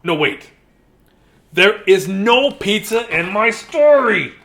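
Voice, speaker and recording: An exasperated, self-important storybook narrator acted out by a man, whiny and insistent. The voice leaps up and down with bright, forceful protest. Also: sits high, big pitch swings, slow, pitched above the performer's own voice, exaggerated voice. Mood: indignant.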